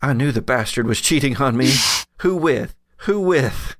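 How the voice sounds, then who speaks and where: A man's voice: mid-range voice, lively intonation, measured pace, fairly bright tone, no room sound, clean studio sound. Man playing a commanding, suspicious woman in her forties. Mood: bitter, demanding. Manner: commanding, coaxing with folksy endearments.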